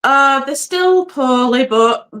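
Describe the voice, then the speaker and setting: A woman from England, a guest on a live stream, chatting warmly and laughing often over a video call from home. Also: high voice, lively intonation, takes it slowly. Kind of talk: casual live-stream chat. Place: home by the sea.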